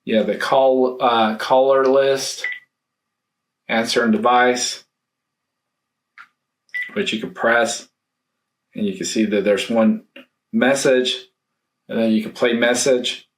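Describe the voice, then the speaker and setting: A man giving a casual, hands-on product review, thinking aloud in a halting way. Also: mid-range voice, steady tone. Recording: roomy echo, faint background noise.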